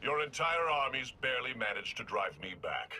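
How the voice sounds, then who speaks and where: A man's voice: mid-range voice, expressive, normal speed, pushed and bright, a little hiss or hum. An actor playing an obsessed, proud male leader in a video game, speaking in a commanding, scornful manner.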